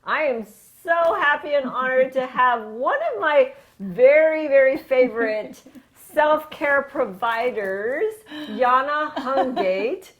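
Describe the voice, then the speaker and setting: A woman's voice: sits high, expressive, slow, fairly bright tone. Effusive woman hosting an interview. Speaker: host of a women's wellness show. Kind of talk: conversational interview.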